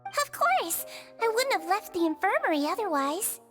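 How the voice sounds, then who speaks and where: A woman's voice: very high voice, very animated, normal speed, fairly bright tone, soft background music, exaggerated voice. A woman voicing a small, polite, caring childlike nurse in an anime game. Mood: attentive.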